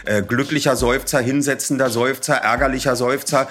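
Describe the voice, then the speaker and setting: A man's voice: mid-range voice, fairly even intonation, moderate pace, a bit bright. A man, a voice actor, telling anecdotes playfully in a casual interview style.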